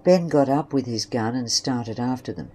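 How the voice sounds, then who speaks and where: A woman's voice: rumbling low, moderate pace, warm tone, a little hiss or hum. A woman reading scripted narration for an audio drama story.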